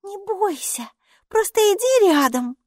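A small, bossy little girl squeals out her lines in a very high, bright child voice that swoops up and down. The storybook voice sounds close and dry, with no room around it. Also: measured pace, no room sound, heavily acted. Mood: hushed. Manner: high, excited, exclaiming child voice. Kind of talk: audiobook character reading.

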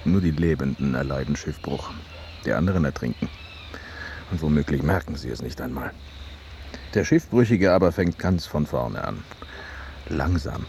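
Very deep-voiced, wry older man. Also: creaky, a little mellow, slight background noise, heavily acted. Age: 50s. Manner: wry, aphoristic. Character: gruff, wry, philosophical veterinarian aged fifty-nine. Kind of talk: radio drama acting.

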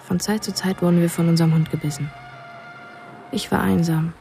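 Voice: medium pitch, fairly even intonation, a little fast, creaky, warm tone, soft background music, natural voice. A young performer playing a lonely, haunted thirteen-year-old boy, narrating tersely in a radio drama. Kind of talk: scripted first-person radio drama narration.

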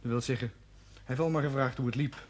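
A man's voice: medium pitch, fairly even intonation, quick pace, natural voice. An actor playing a defiant, resentful young working man in a television drama, blunt and combative. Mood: bitter, subdued. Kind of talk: 1970s television drama acting.

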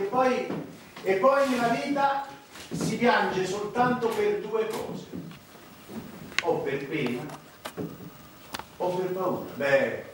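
An actor playing a cynical, corrupt Vatican priest in a theatrical monologue, mocking and menacing, with dramatic delivery. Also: male voice, sits high, heavily acted. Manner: dramatic, sardonic, swinging between hushed and loud. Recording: echoey, distant, noisy stage recording.

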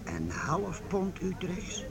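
A gruff, suspicious medieval gate guard with a gravelly, creaking voice. He speaks bluntly and slowly, with a loud crowd around him, as in a radio play. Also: high voice, wildly swooping pitch, exaggerated voice. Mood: impressed, wary. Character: gruff, suspicious medieval town gate serviceman, easily bought. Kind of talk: period radio drama acting. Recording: loud crowd noise behind the voice.

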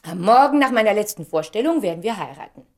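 A woman's voice: medium pitch, animated, quick pace, a little mellow, natural voice. A woman acting an effusive, ambitious stage actress who is dreamily in love, in a theatrical German radio drama style. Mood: tender, dreamy. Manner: theatrical, dreamy, swinging from breathy murmurs to exclamations.

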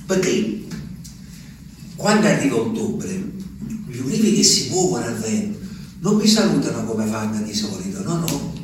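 A man's voice: medium pitch, sing-song, normal speed, long pauses, close to an everyday voice. An actor playing a lonely old peasant man, musing aloud to the moon in a theatrical monologue. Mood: wistful. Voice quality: musing, talks to the moon, rambling. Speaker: stage actor. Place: theatre stage. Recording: distant stage recording with reverb and faint noise.

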